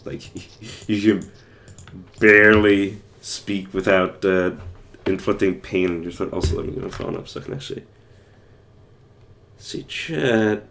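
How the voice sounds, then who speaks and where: A young man with a very low, hoarse voice that is wearing out. He rambles through his gameplay commentary with lots of fillers and restarts, talking to chat as he plays. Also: fairly even intonation, a little slow, long pauses, fairly bright tone. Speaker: livestreamer playing a game.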